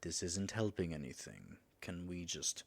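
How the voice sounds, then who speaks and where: A man's voice: low voice, very animated, unhurried, creaky, heavily acted. A man playing a proud male dragon who guards his treasure, speaking in a dramatic, formal and wry manner in a scripted audio roleplay. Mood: pleading.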